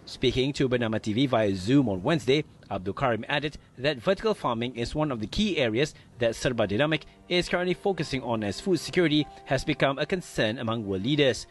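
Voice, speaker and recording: A man in his thirties presenting the news from a studio, reading a scripted report in an even, formal manner. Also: medium pitch, fast, few pauses.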